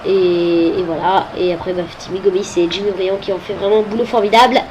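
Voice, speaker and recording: A woman's voice: medium pitch, fairly even intonation, fairly quick, highs cut off, noisy background. A woman with a head cold commenting on a football video game, hesitant and full of filler sounds. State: has a cold.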